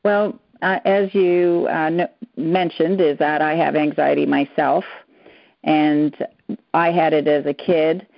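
A woman's voice: deep voice, no room sound. An older woman whose first language is German, a psychotherapist answering interview questions earnestly, sometimes hunting for words. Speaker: psychotherapist guest. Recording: dull dry lo-fi sound.

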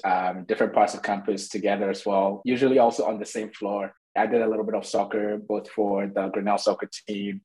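Man from Ghana, 30s, fairly low voice, startup co-founder, relaxed interview answers, casual fillers. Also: rough recording. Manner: relaxed, with frequent "uh" and "you know".

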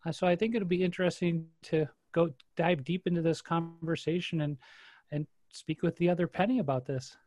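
A man's voice: on the high side, steady tone, brisk, darkish tone. A man co-hosting an interview, speaking hesitantly as he searches for words. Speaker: co-host. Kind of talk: casual interview chat.

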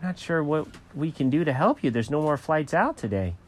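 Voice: medium pitch, big pitch swings, moderate pace, pitched above the performer's own voice. A storyteller voicing a courteous, apologetic woman working at an airline check-in counter. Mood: helpful. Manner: hesitant, courteous. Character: polite, apologetic female airline check-in clerk.

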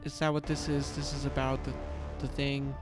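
A man's voice: medium pitch, completely flat delivery, normal speed, soft background music, natural voice. A man voicing an awkward, nervous young man who stammers and hesitates.

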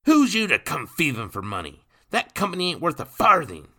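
A spiteful drunk in a storybook, loud and pushed well up in pitch. He slurs and bellows in rough dialect, mocking and belligerent. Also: sing-song, normal speed, heavily acted. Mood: indignant shouting. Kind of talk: dramatised audiobook character voice.

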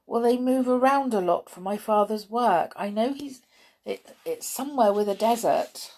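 A woman's voice: a little high, normal speed, mellow, voice pushed up. A woman voicing a timid, polite boarding-school boy in a storybook, hesitant and pleading.

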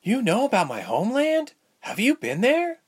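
Man voicing a high, earnest young adventurer woman. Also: wildly swooping pitch, moderate pace, slightly rough, exaggerated voice. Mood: eager. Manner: urgent, questioning, polite. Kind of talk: storybook character voice.

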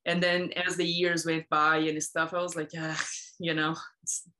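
A woman in her thirties from Brazil talks casually in a lowish voice, laughing often and filling pauses with "like". Her talk is loose and chatty. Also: level tone, sharp tone, low-quality recording. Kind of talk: casual conversation.

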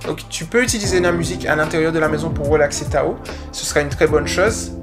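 A man's voice: a little high, fast. Man, dog-coach podcast host, slight Guadeloupean lilt, upbeat motivator, music underneath. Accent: Guadeloupean French, slight. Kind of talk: casual podcast advice.

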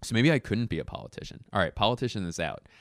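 A young adult man rambling through a casual solo chat, self-mocking and sure of himself, with quick asides and restarts. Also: fairly low pitch, animated, dry recording, clean studio sound.

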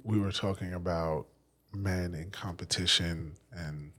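A man's voice: low voice, fairly even intonation, unhurried, a bit bright. A man hosting a podcast, talking in a casual, playful and teasing way. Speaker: podcast host. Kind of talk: casual conversation.

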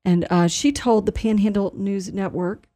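A woman presents local news on the radio in a conversational manner, feeling her way through phrases. Her tone is mellow and relaxed, and the sound is dry with very little room in it. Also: mid-range voice, normal speed, no room sound. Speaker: news host.

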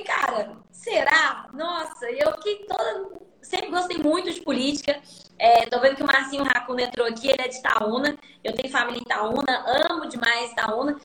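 A woman's voice: high voice, fairly bright tone, roomy sound, poor audio. A young woman with a Mineiro accent, a political guest speaking in an earnest, reflective way with conviction. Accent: Mineiro Brazilian Portuguese. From Minas Gerais, Brazil.

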